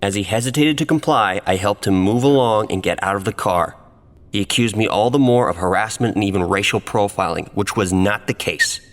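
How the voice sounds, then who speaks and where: A man's voice: on the low side, a little fast, big-room sound, close to an everyday voice. A man playing a defensive police officer giving formal, self-justifying testimony in a courtroom audio drama.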